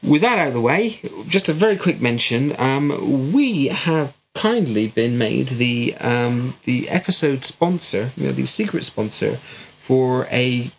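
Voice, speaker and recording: A man's voice: medium pitch, expressive, measured pace, gravelly. West Country man on a humming lo-fi podcast. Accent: West Country English. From West Country of England. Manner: dry, jokey banter. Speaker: podcast co-host. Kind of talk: casual chat. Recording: lo-fi home recording with hum. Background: faint hum.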